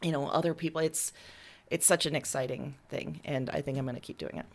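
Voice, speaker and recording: Candid, low-pitched woman in conversation. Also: fairly even intonation, fairly quick, vocal fry. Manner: candid, enthusiastic, casually sweary. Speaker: writer and musician being interviewed. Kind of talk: casual interview chat.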